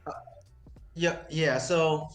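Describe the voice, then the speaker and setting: A man who is not a native English speaker, speaking as a guest on a casual livestream interview, halting and full of restarts. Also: a little high, fairly even intonation, faint music behind. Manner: halting, with many restarts and fillers. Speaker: interview guest, token project owner.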